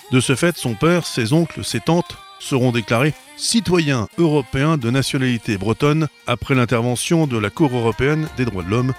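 A man hosts a radio program in a mid-pitched voice, introducing his guest and then questioning her. He talks in a chatty, conversational way. Faint music plays behind him. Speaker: radio host, interviewer. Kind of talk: radio presenting and interviewing.

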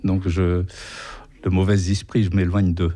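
A man from Portugal speaks French with a Portuguese accent. His voice is low and mellow. He answers haltingly, often stopping to search for words. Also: flat delivery. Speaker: radio volunteer. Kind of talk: interview answers.

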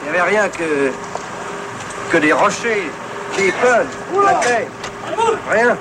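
A wistful camper, with a high voice. Loud traffic noise fills the background of the old film sound. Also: male voice, very animated, slow pace, heavily acted.

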